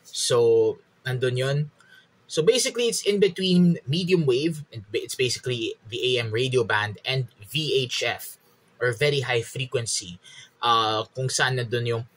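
Man, thirties, Filipino accent, native Filipino speaker, medium pitch, casual explainer, halting delivery. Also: a bit bright. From Philippines. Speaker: podcast host and radio hobbyist reviewer. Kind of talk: casual explanatory vlog, code-switching. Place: small room at home.

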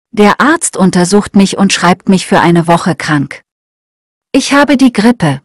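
Woman reading easy lesson texts, lowish voice, slow and clear, dry close sound. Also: no room sound. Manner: clear, evenly paced reading aloud. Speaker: German lesson reader. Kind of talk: slow scripted reading for learners.

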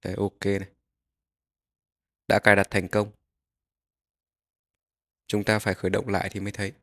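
A man narrating a step-by-step software tutorial, explaining each click as he goes. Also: lowish voice, completely flat delivery, normal speed.

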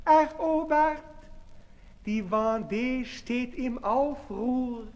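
A man's voice: very high-pitched, drawn-out words, a little mellow, full character voice. An actor playing a florid, lovesick aristocratic man in a 1960s radio drama, pompous and theatrical. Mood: haughty, exalted. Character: florid lovesick aristocratic suitor, haughty and pleading. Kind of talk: 1960s German radio drama, musical declamation. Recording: reverberant old radio play with music.

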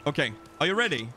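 Young man, lively teasing banter. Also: very high-pitched, a little hiss or hum. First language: Swedish. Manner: playful, teasing, jokey banter. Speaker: co-op gaming YouTuber. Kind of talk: casual co-op gameplay chat.

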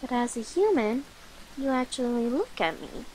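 A woman playing an affectionate, sleepy young cat-girl familiar in a soft, coaxing, playful ASMR roleplay. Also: sits high, lively intonation, normal speed, slight background noise, poor audio. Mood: affectionate, tender.

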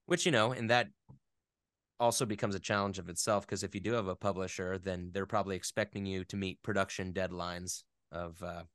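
A man's voice: low voice, fairly even intonation, fairly quick, a bit bright, clean tone, dry recording, clean studio sound. A man speaking as an interview guest in a casual, thoughtful, slightly rambling way. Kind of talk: casual chat.

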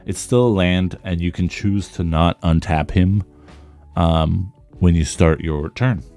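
Male card-game creator, very low mellow voice with a touch of roughness, casual unboxing commentary, music underneath. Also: a little slow. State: slightly rough voice from talking a lot. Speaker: trading card content creator.

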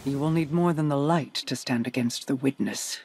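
A man's voice: medium pitch, moderate pace, darkish tone, slight background noise, close to an everyday voice. A man voicing an aloof, proud otherworldly monarch in a video game, formal and imperious.

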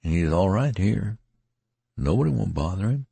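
Guy voicing a worn out wounded soldier, folksy drawl, raises his deep gravelly voice a bit, dry sound. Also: lively intonation, moderate pace, warm tone, no room sound, heavily acted. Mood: weary. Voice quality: creaky, husky. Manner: rustic drawl, dreamy and rambling. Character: weary wounded male soldier, folksy rambling. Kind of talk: audiobook character reading.

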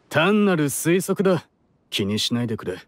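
A man's voice: a little high, a little slow, slight background noise. An actor playing an easygoing, confident elder brother in an anime game, a young man with casual speech. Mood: musing, offhand. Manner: casual, relaxed masculine speech.

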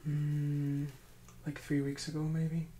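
A man in his thirties giving quiet, brief, relaxed answers as the patient in a soft-spoken ASMR role-play. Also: fairly high pitch, takes it slowly. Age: 30s. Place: small indoor room. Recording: echoey room with clear background noise.

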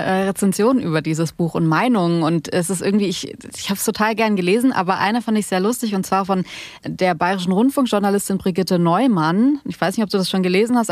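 A woman's voice: mid-range voice, no room sound. A woman hosting a podcast, asking questions and commenting in a casual, conversational interview style.

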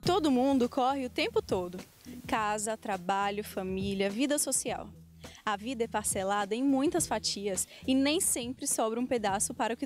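A woman narrates a television feature in a measured, clear news voice. Her pitch sits a bit high, and soft music plays under her. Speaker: TV magazine reporter.

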